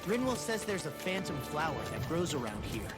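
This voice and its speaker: A man's voice: on the high side, big pitch swings, a little fast. An actor voicing a brash, cocky young male fighter in a video game, teasing his companions. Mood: casual. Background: game music.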